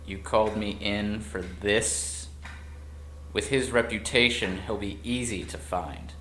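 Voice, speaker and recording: A man playing an insolent lone special investigator in an audio drama, with a sardonic, mocking deadpan. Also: lowish voice, slow pace. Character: lean, predatory male special investigator, insolent lone operative. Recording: echoey room, faint noise.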